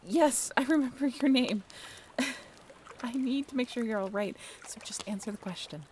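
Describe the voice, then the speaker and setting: A woman playing a caring, bashfully candid half-mermaid ship crew member in an audio roleplay, speaking straight to the listener in a halting, natural, gently bossy way. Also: a little high, a little hiss or hum, natural voice. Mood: concerned, relieved. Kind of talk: audio roleplay drama spoken directly to the listener.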